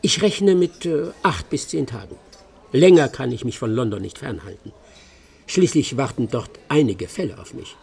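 An actor in a German radio play voicing a stern, self-assured English master detective, terse and dry, with a gravelly, husky voice. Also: male voice, medium pitch, animated, normal speed, a little mellow, heavily acted. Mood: dry, confident.

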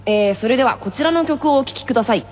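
A woman voicing a composed junior-high tennis boy hosting a school broadcast in an anime radio drama. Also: animated, quick pace, darkish tone, dull audio, a little hiss or hum, lightly acted. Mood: composed, matter-of-fact. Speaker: broadcast host.

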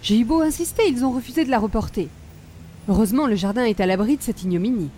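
A woman's voice: a little high, expressive, fast, mellow, a little hiss or hum, close to an everyday voice. A woman playing an affected, snobbish older hostess with a Quebec French accent and precious, formal diction, in radio comedy style. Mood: fussy, disdainful. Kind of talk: old-time radio comedy acting.

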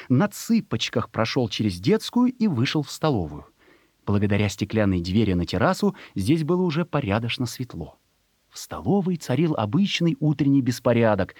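A man's voice: mid-range voice, a little mellow, no echo, studio quality. A man reading a literary story as a narrator, expressively and with emotion, in a scripted dramatic style.

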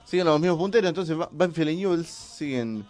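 A man's voice: fairly high pitch, animated, a little mellow. A man with an Argentine accent hosting a casual radio show, giving football results and bantering with his co-host. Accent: Argentine Spanish. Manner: quick, bantering, amused.

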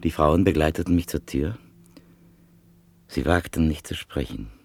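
Deep, gravelly reflective male narrator. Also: expressive, a little slow. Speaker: narrator and listener in a radio play. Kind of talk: 1950s radio drama acting.

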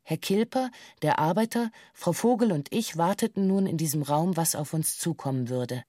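A woman's voice: low-pitched, normal speed, dark tone. An actress playing a female bank employee who gives a formal, precise witness statement in a matter-of-fact way for a radio play. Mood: sober, factual. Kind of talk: scripted radio play monologue in stiff police-statement language.

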